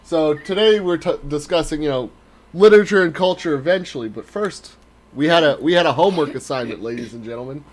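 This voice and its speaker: A man's voice: a little high, a little fast. A man co-hosting a talk stream in a blunt, casual way, swearing freely. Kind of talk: casual, profane chat.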